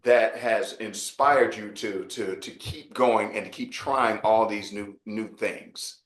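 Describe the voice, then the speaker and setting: A man's voice: on the low side, fairly even intonation, slow, a bit bright, a little hiss or hum. Easygoing male interviewer in an echoey room. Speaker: interview host. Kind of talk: interview questions. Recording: roomy echo.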